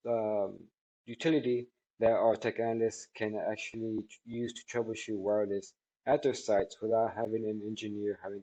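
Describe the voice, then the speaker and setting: A man in his thirties giving a spoken product testimonial in a halting, measured way, with drawn-out words. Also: on the low side, dark tone, low-quality recording. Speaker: technology product reviewer. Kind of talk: spoken testimonial answering questions. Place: small indoor office room by a large window.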